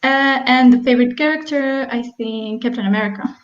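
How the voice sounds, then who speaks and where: A nineteen-year-old woman from Ukraine, giving a brief, bright self-introduction over a video call. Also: on the high side, a little fast. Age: late teens. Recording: dull echoey video call audio.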